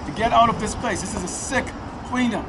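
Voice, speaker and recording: Street preacher, male, high-pitched and declaiming, recorded outside over heavy traffic noise. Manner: declaiming, reading scripture slowly then preaching loudly; rhetorical questions to passersby. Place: outdoors on a city street.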